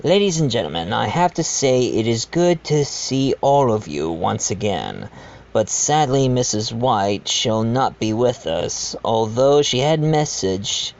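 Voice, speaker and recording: Male actor as wealthy scheming host, slow deliberate delivery, lively pitch, formal courtesy, slightly dull audio drama recording. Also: gravelly, a little hiss or hum. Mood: genial. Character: genial scheming wealthy male host of a murder-mystery party. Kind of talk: amateur audio drama acting, part narration.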